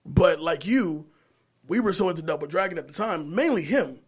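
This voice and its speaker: A man with a high-pitched voice leads a loose podcast conversation. He is blunt and jokey, speaking in slangy, profane banter. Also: animated, moderate pace, slightly rough. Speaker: podcast panelist leading the discussion. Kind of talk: casual profane banter about movies.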